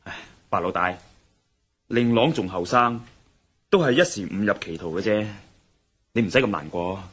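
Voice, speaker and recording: An actor with a Hong Kong Cantonese accent playing a calm, clever adventurer in a radio drama, speaking in a measured, reasoning way. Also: male voice, fairly low pitch, breathy edge.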